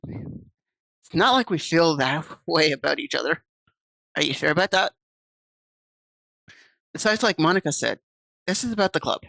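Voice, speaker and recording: A woman's voice: deep voice, expressive, unhurried, gravelly, pauses often, a bit bright, dry recording, low-quality recording. A woman streaming, reading visual novel text aloud and chatting casually with viewers on a desk mic. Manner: chatty, reads game text aloud and answers chat.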